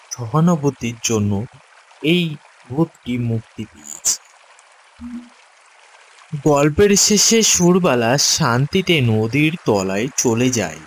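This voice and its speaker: A man's voice: mid-range voice, poor audio. A man narrating a scripted ghost story for children as a storyteller.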